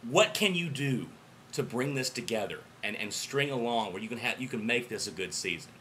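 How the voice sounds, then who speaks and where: An American man with a voice hoarse from yelling, giving emphatic, repetitive fan commentary on football in a vlog. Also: medium pitch, brisk, a little hiss or hum, rough recording. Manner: emphatic, repeats himself, swings between excited bursts and quieter asides. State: hoarse voice after yelling through a game. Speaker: football fan vlogger and alum of the team he supports. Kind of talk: impassioned sports fan commentary to camera.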